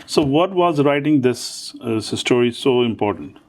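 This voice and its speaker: A man's voice: medium pitch, expressive, moderate pace, darkish tone, slight background noise. A man hosting a talk show, introducing his guest and asking questions with frequent restarts. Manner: hesitant, with repeated restarts.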